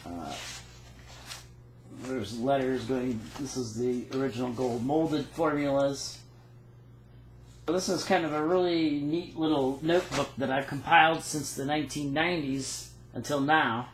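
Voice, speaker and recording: Halting man in an echoey room. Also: mid-range voice, fairly even intonation, slight background noise. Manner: halting, reads out dates and patent text. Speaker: phonograph cylinder maker. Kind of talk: casual show-and-tell walkthrough.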